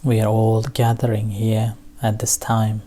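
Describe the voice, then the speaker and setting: Slow, mellow low-voiced man guiding meditation. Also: completely flat delivery, a little hiss or hum. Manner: halting, short phrases with long pauses. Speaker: Buddhist meditation teacher leading a group session. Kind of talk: guided meditation instruction, unscripted.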